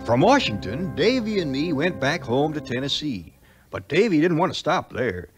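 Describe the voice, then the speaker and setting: Loyal backwoods sidekick spinning a yarn, southern accent, really animated, a bit high pitched, 1950s radio-style play. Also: male voice, moderate pace, music in the background. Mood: folksy, reflective. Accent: American Southern. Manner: rustic, colloquial storytelling. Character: loyal, homespun frontier sidekick telling the tale. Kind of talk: 1950s audio play narration and acting.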